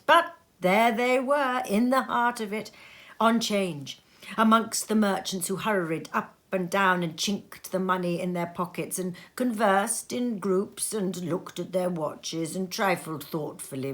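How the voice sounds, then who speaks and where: A woman narrating a classic story aloud in an expressive storybook style, recorded in a sitting room at home. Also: on the low side. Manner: animated, theatrical character voices in dialogue.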